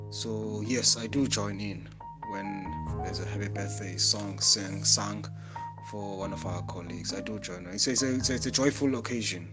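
A man contributing a recorded answer, speaking in a measured way with hesitant fillers and repeated points. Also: fairly low pitch.

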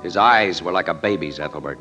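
An actor playing a wry, streetwise crime photographer in a 1940s radio drama, casual and slangy with an American accent. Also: male voice, low voice, fairly quick, lo-fi sound, natural voice. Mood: matter-of-fact. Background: music.

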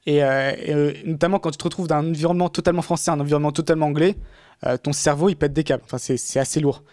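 A man's voice: on the high side, fairly even intonation, rapid-fire, darkish tone, no room sound, clean studio sound. A man talking casually in a podcast conversation, rambling with many fillers and restarts.

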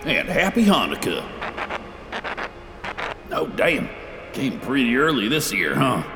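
A folksy ghostly handyman in a comic radio play, unhurried but swooping in pitch. He is laid-back and halting, in long reverb over music. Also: wildly swooping pitch, exaggerated voice. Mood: easygoing, fond. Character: folksy, easygoing ghostly handyman who lives in walls.

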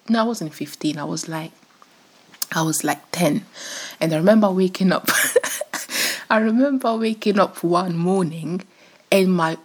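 A woman in her twenties hosting her own podcast, chatting casually and rambling with frequent little laughs, her voice sounding rougher and deeper than usual. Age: 20s. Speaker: podcast host. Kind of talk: casual solo podcast chat.

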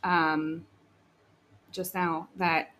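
A woman hosting a casual podcast interview, chatty and excitable, laughing easily. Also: on the low side, drawn-out words, slight background noise. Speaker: podcast host.

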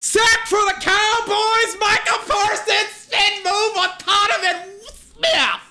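A young man giving casual, excitable play-by-play and analysis of a football game he is watching, with lots of filler words. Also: very high voice, takes it slowly. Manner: excitable, peppered with filler words and mock voices. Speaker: watch-along football commentator.

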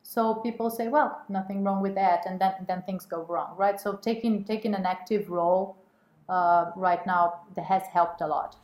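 A woman's voice: mid-range voice, fairly even intonation, moderate pace, darkish tone. Hesitant woman in an echoey room. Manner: hesitant, many fillers and restarts. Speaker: guest, women's network co-chair. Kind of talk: casual interview talk.

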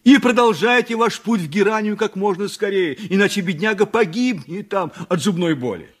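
An actor playing a self-satisfied, conciliatory town judge in a radio play, authoritative yet coaxing. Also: male voice, high-pitched, normal speed, husky. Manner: authoritative, coaxing, narrating his own case. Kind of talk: 1980s radio play acting.

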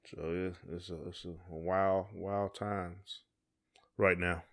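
A man's voice: low-pitched, flat delivery, slow, a bit bright. A man co-hosting a podcast, talking casually in folksy, colloquial phrasing. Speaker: podcast co-host. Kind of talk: casual conversation.

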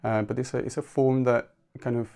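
A man from Argentina, a poet guest on a podcast, speaking softly and hesitantly with many restarts. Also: medium pitch, flat delivery, fairly quick, creaky. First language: Spanish. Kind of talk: podcast interview.

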